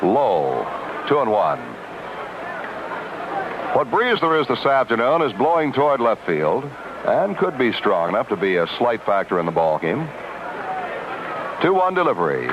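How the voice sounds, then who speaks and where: A male baseball play-by-play announcer comes through a dull old radio broadcast with heavy noise. His medium-pitched delivery swings up and down as he calls each pitch live. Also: very animated, a little slow, pauses often. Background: stadium ambience and loud noise.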